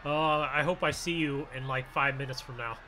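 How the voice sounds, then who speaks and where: An American man hosting a gaming roleplay video, exclaiming in casual, excited commentary. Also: mid-range voice, normal speed. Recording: clear noise, vehicle ambience behind.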